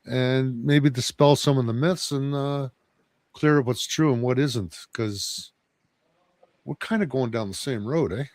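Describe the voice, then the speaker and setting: A man's voice: medium pitch, measured pace, a little mellow, no echo. A man co-hosting a casual talk show, chatty and loose, distrustful of the media. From Canada. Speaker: podcast co-host.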